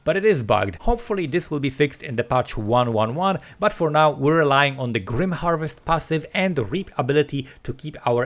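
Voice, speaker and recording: A man presenting a scripted video game guide as a voice-over, explaining step by step and addressing the viewer directly. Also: fairly high pitch. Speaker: gaming video creator presenting a build guide.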